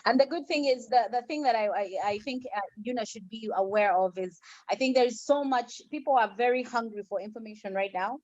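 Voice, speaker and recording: A woman's voice: fairly high pitch, brisk, hardly any pauses, no room sound, dull audio. A woman hosting a conversational interview in an encouraging way, repeating words for emphasis. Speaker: interviewer, host.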